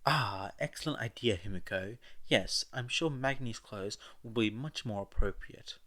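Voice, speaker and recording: A man voicing a courteous, diplomatic gentleman villain with formal, polite manners. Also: on the low side, measured pace. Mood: tactful.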